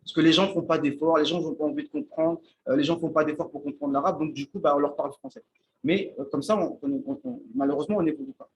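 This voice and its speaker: A man's voice: a little high, steady tone, highs cut off. A man giving an informal religious lesson at a shared meal with friends, thinking aloud with many restarts and often checking in with his listeners. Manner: halting, thinks aloud, repeats and restarts words; checks in with listeners, asks "d'accord?". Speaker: Islamic teacher giving a talk on Arabic.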